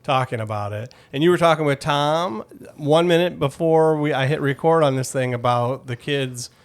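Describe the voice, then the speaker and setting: A man's voice: fairly high pitch, moderate pace. Jokey, rambling male podcast host. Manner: rambling, jokey, self-deprecating. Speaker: podcast co-host interviewing a guest. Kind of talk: casual, bantering interview chat.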